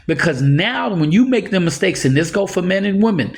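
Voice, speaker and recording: A man's voice: sits high, animated, a little fast. A man in his sixties giving earnest, rambling life advice in a casual monologue, chuckling now and then. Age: 60s. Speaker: motivational speaker.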